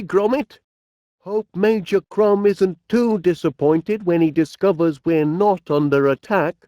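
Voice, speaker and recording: A man's voice: sits high, darkish tone, no echo, studio quality. A man playing a cheery, eccentric middle-aged inventor in a cartoon video game, with a Northern English accent and a dotty, genial, musing manner.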